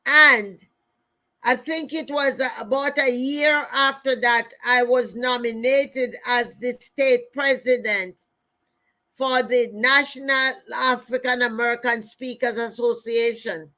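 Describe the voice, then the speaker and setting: This is a middle-aged Jamaican woman speaking as a motivational host. Her voice sits fairly high for a woman and she takes her time, at a markedly slow pace. She tells personal stories and drives points home emphatically, repeating key phrases as she addresses listeners directly. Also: fairly even intonation, pushed and bright. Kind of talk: motivational talk with personal storytelling.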